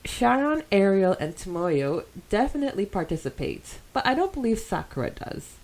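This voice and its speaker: A woman's voice: medium pitch, big pitch swings, normal speed, darkish tone, poor audio. A woman co-hosting a podcast, chatting casually and reading out fan comments with giggly enthusiasm. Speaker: podcast co-host.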